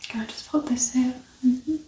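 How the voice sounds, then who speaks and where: A woman speaking softly and gently, often near a whisper, as a doctor in an ASMR medical exam roleplay. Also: sits high, moderate pace. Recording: roomy echo, distant mic, clear background noise.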